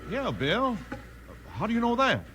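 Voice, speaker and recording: A man's voice: very high voice, wildly swooping pitch, a little slow, bright, forceful tone, exaggerated voice. An actor playing an earnest, eager railroad man allied with the hero in a 1950s radio western. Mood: concerned. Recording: very noisy old radio recording.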